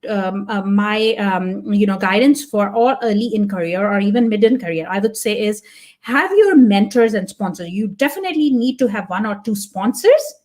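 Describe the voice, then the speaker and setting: A woman's voice: medium pitch, expressive, normal speed, a little mellow. A woman whose first language is Punjabi, speaking as a podcast guest in an earnest, reflective way, thinking aloud. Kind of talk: casual interview talk.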